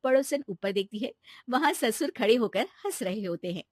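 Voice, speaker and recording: A woman's voice: fairly high pitch, animated, moderate pace, no echo, clean studio sound. A woman narrating a scripted cartoon story.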